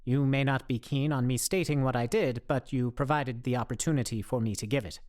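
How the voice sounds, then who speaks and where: A man's voice: mid-range voice, a little fast, darkish tone, natural voice. A man playing an earnest, socially awkward survivor in a radio play, speaking in stiff, wordy, over-precise phrases. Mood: earnest, formal. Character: earnest, socially awkward, overly formal male zombie survivor.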